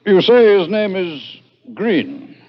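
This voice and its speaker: An actor playing a pensive, curious colleague of an investigator, musing and questioning in an old-time radio drama. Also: male voice, sits high, big pitch swings, slow, heavily acted. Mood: musing, intrigued. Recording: muffled vintage radio recording.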